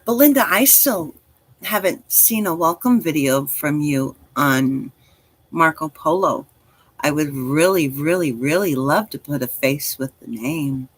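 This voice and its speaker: A woman's voice: sits low, expressive, unhurried, breathy edge. A woman in her forties chatting casually on a livestream as host, speaking directly to listeners in an encouraging, reassuring way. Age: 40s.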